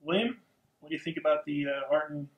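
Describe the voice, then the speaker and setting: A man's voice: a little high, quick pace, phone-quality sound. Man explaining game rules in an echoey room. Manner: steady explainer, frequent ums. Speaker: podcast co-host.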